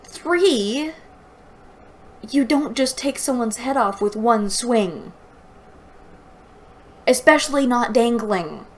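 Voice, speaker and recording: A woman's voice: a little high, expressive, takes it slowly, long pauses. A woman reading aloud and giving mocking, incredulous commentary as the host of a book review. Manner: exasperated, incredulous.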